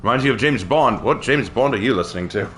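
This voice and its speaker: A man's voice: medium pitch, lively intonation, quick pace, a bit bright, a little hiss or hum, voice pushed down, natural voice. A man putting on a silly, cheeky, theatrical British accent as a chummy gamer lad, chatting casually on a game live stream. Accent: put-on British.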